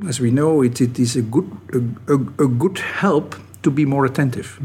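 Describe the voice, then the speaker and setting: A middle-aged man, a native Dutch speaker with a Dutch accent, giving thoughtful, halting answers as an academic guest in an interview. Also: medium pitch, darkish tone, slight background noise. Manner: thoughtful, halting, often restarting phrases. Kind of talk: reflective interview talk.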